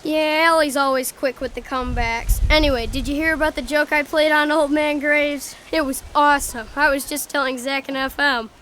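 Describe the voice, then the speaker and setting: Youngster as schoolboy prankster, high voice, cocky, teasing, radio play, faint noise. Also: steady tone, moderate pace, hardly any pauses, bright, forceful tone. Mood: gleeful, boastful. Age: child. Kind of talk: amateur radio drama acting.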